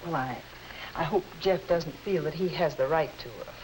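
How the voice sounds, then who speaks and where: A woman's voice: deep voice, moderate pace, a little mellow, close to an everyday voice, poor audio. A middle-aged woman playing a careful, concerned mother in a 1950s instructional film. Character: concerned, gently probing mother of a teenage girl. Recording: hissy 1950s educational film soundtrack.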